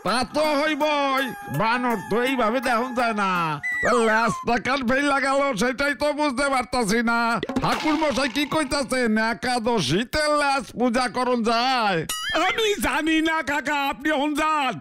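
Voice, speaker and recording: A man's voice: very high voice, moderate pace. A man playing an exasperated, querulous cartoon villager with an East Bengali accent, grumbling over background music. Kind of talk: exaggerated Bengali cartoon dubbing. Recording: background music under dialogue.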